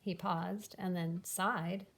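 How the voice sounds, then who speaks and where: A woman's voice: lowish voice, fairly even intonation, slow pace. A woman reading a children's folktale aloud as scripted narration. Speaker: storybook reader.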